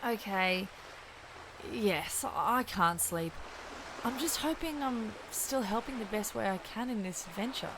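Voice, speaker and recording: A woman voicing an eager, slightly insecure young companion in an audio drama, expressive and a little hesitant. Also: mid-range voice, measured pace, background noise, lightly acted. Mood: sheepish.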